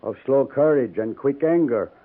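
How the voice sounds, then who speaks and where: Tinny old-radio dying old chief. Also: male voice, mid-range voice, expressive, breathy edge. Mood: grave, reflective. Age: older. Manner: solemn, measured, formal poetic phrasing. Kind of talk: 1950s radio drama acting. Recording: narrow tinny 1950s radio recording.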